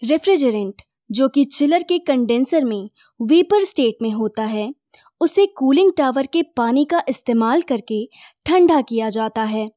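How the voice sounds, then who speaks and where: A woman giving a scripted voice-over for an animated technical lesson, in a measured explaining tone. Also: fairly high pitch, no room sound, studio quality.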